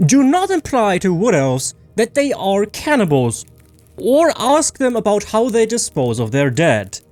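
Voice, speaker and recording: A haughty elven propaganda official, put on by a narrator, pitched high and swooping widely. The delivery is pompous, prim and officious, like a storybook zealot, with music underneath. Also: huge pitch swings, unhurried, darkish tone, no echo.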